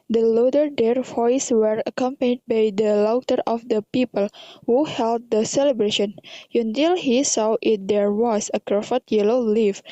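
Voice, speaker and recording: A woman's voice: fairly high pitch, warm tone. A woman with an Indonesian accent reading scripted story narration in careful non-native English. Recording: dull, echoey lo-fi recording.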